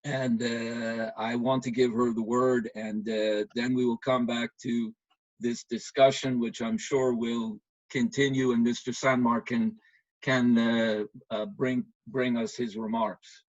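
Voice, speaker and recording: A man moderating a webinar, introducing the next speaker haltingly with many pauses. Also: mid-range voice, level tone, dry recording. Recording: dull, dry video-call audio.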